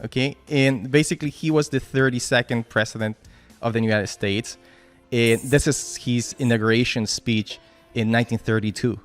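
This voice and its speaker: A man's voice: mid-range voice, fairly even intonation, normal speed, soft background music. A man with a Spanish accent giving a casual motivational talk at a team meeting, with frequent restarts and "right?" tags.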